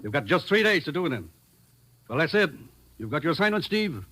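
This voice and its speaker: An actor playing a brisk, authoritative American government commissioner in a 1950s radio drama. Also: male voice, fairly high pitch, big pitch swings, pushed and bright, exaggerated voice, poor audio. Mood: stern, urgent. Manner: businesslike, authoritative. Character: brisk, stern male government commissioner briefing his agent. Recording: vintage 1950s radio recording.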